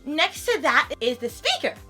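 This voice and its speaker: Young woman vlogger, high bright voice, bubbly and giggly, slightly raspy from a sore throat. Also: very animated, moderate pace, soft background music. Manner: bubbly, giggly, calls viewers "lovelies". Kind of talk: casual unboxing vlog.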